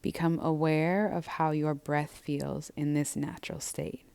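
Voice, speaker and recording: Low-voiced woman guiding gentle meditation. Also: flat delivery, creaky, clean tone. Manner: gentle, measured, soothing guidance. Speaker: yoga and meditation instructor. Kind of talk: guided breathwork and body scan instruction.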